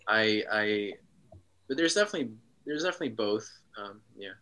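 A man from Switzerland, a guest in a casual interview, speaking reflectively with frequent pauses and ums. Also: lowish voice, animated, bright, forceful tone.

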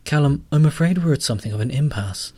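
A man voicing a sentient bank computer that speaks in a calm, grandiose, self-important way. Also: on the high side, slightly rough, dry recording, voice pushed up. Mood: lofty. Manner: measured, lofty, pompous.

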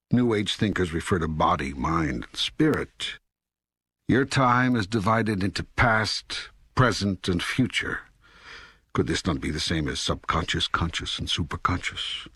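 An older man playing God as a calm, patient, authoritative teacher in an audiobook, speaking in a measured, deliberate way with a gravelly, husky voice. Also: on the low side, no echo, clean studio sound, full character voice. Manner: measured, deliberate, emphatic phrasing with pauses. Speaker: audiobook narrator. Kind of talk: scripted audiobook dialogue reading.

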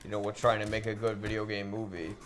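A man's voice: fairly low pitch, level tone, quick pace, fairly bright tone, a little hiss or hum. A man in a casual, informal podcast chat, talking loosely while playing a video game. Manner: informal, swearing. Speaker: podcast co-host.

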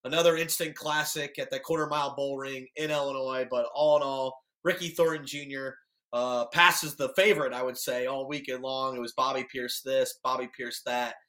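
An American man with a raspy voice, hosting a sports podcast and recapping action in a casual, chatty way. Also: fairly high pitch, pushed and bright. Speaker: podcast host.

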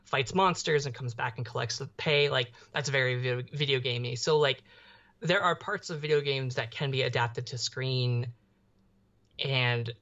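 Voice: medium pitch, steady tone, moderate pace, a bit bright. Young podcast cohost just chatting, rambly, keeps hunting for words, kinda muffled lo-fi audio. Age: young adult. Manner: rambling, hesitant, searching for words. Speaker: podcast co-host. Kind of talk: casual pop culture discussion.

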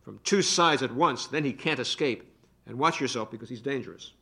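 A man plays a domineering, clock-hearted husband who rants and bullies in a staged play reading. His voice is bright and forceful, and his manner is bossy and blustering. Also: medium pitch, brisk, creaky. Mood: threatening.

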